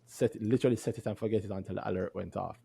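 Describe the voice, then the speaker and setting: A man explaining his trading strategy in a relaxed podcast conversation. His voice carries a gravelly fry, and he leans on rhetorical questions to make his point. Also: lowish voice, rapid-fire. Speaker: podcast host. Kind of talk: casual investing chat.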